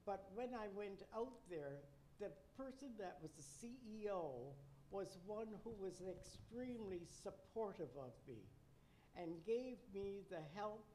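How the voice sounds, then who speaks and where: Older woman from Nova Scotia, unhurried reflective storyteller, dry asides, faint hiss behind. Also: medium pitch, lively intonation, mellow, rough recording. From Nova Scotia, Canada. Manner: measured, reflective, with wry asides. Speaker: interviewee, retired nurse educator.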